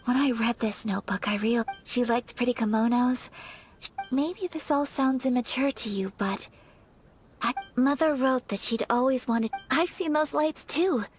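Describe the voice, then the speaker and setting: A woman playing a gentle, earnest young noblewoman in a video game, speaking politely and formally in a soft, measured way. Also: on the high side, faint music behind, close to an everyday voice. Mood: reflective, wistful. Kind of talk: English game voice acting.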